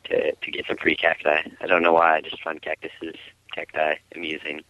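A very low-voiced man on a dull, lo-fi phone connection, chatting casually as a caller. He speaks haltingly and often repeats his words. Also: completely flat delivery, creaky, dry recording. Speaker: caller to a call-in show. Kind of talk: casual chat. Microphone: phone call through internet voice service. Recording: muffled lo-fi internet phone line.

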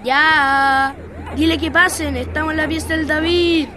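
A woman's voice: high voice, steady tone, a little slow, bright, forceful tone, some chatter behind. A woman playing a casual, easily exasperated Chilean schoolboy, full of slang, in an educational radio drama. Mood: casual, eager.

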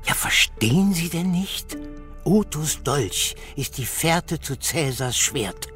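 An actor playing an arrogant, obsessed older archaeology professor in a radio drama, lecturing and full of contempt. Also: male voice, a little high, expressive, takes it slowly, a bit bright. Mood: fervent. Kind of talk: German radio drama acting. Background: music.

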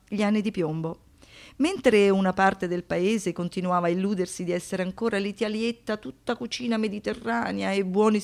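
Woman performing female narrator, dramatic radio monologue, literary, deliberate, dry irony, medium-pitched voice. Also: darkish tone, close to an everyday voice. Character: woman narrator recalling seventies youth and crime.

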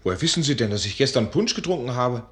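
An actor playing an excitable, dreamy young student with theatrical, declaiming delivery in a German radio play. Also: male voice, mid-range voice, quick pace, breathy edge. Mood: sober, smug. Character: excitable, tipsy dreamy young male student, earnest and poetic.

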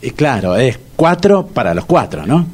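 A man's voice: mid-range voice, sing-song, normal speed, darkish tone. An actor playing a jovial, wisecracking middle-aged man with a Rioplatense accent. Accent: Rioplatense Spanish. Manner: chummy wisecracks.

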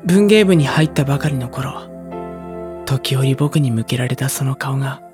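A man acting as a troubled high school boy in a Japanese-style audio drama, withdrawn and guarded. His mid-range voice carries brooding inner monologue and dialogue over prominent music. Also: normal speed, lightly acted. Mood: bitter, brooding.

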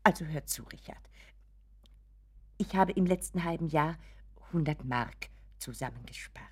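A woman voicing an anxious, middle-aged mother of a grown son in a German radio play, halting and coaxing. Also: low-pitched, breathy edge. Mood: pleading.

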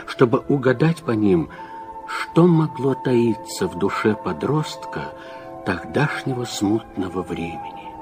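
A man acting in a radio drama as a troubled, reflective man of letters, husky and rhetorical, with deliberate phrasing that swells and drops. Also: low-pitched. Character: reflective, troubled man of letters reading his critical reply. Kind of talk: literary radio drama monologue.

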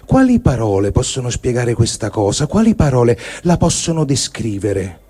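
Husky, dark-toned man reading gravely. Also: medium pitch, sing-song, normal speed. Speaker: reader of written testimonies. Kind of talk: dramatic literary reading of a war diary.

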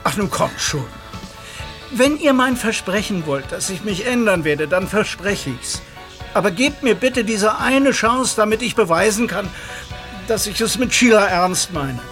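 A man's voice: sits high, lively intonation, measured pace, fairly bright tone, faint music behind. An actor playing an earnest young man in love, imploring in a German audio drama. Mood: pleading, earnest.

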